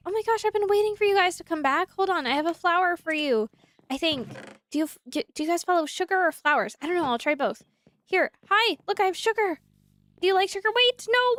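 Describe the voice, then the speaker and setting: A woman's voice: very high voice, fairly bright tone. A woman streaming a game live, chatting to her viewers and the game's animals in a rambling way, slightly nasal from congested sinuses. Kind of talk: casual live gameplay chat. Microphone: desk mic at a computer.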